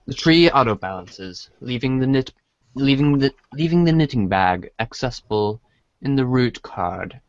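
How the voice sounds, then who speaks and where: Slow-reading man, halting storybook narrator. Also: medium pitch, animated, no room sound, muffled recording. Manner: halting, stumbles over long words. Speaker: reader in a comedic reader's theater show. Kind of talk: dramatic storybook read-along narration.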